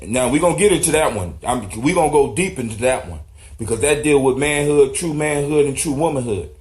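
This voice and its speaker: A man's voice: medium pitch, animated, brisk, darkish tone. A man hosting a talk show, teaching in an informal, preacherly way with slang. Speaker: host of a discussion show. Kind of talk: teaching talk, reading and explaining proverbs to guests.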